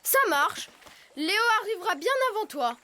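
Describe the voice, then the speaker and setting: Eager little cartoon cub: very high, bright, naive and proud, measured pace. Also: female voice, lively intonation, exaggerated voice. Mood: proud, surprised. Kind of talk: animated series dubbing.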